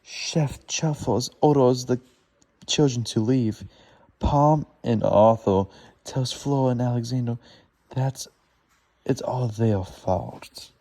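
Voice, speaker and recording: A man reads a children's storybook aloud haltingly, stumbling over words and correcting himself as he goes. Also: medium pitch, mellow. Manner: stumbling, laboured reading with self-corrections.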